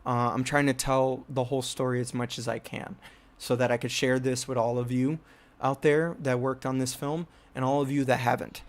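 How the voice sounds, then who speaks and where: Rambling young man, flat and even. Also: medium pitch, normal speed. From United States (New Jersey). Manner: rambling, many fillers and restarts. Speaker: filmmaker and channel host. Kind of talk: casual personal storytelling.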